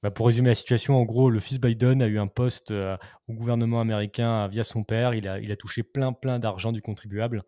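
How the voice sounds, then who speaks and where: Fast-talking man hosting a live chat. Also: fairly low pitch, steady tone, warm tone, no echo, studio quality. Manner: reads viewer questions aloud, laughs often. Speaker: host. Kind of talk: casual live-stream chat.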